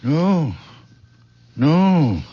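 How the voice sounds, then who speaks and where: An actor playing a gentle, humble very old village man with a hesitant, modest manner in a radio drama. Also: fairly high pitch, sing-song, slightly rough, full character voice. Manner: hesitant, modest, slow-spoken. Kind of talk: 1970s radio drama acting. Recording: muffled, noisy old radio broadcast.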